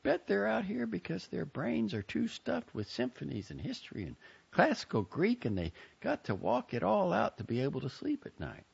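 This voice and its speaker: A man voicing an anxious young big sister in high falsetto. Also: huge pitch swings, normal speed, creaky, husky, exaggerated voice. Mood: wistful. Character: young woman, anxious protective older sister. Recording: muffled lo-fi recording.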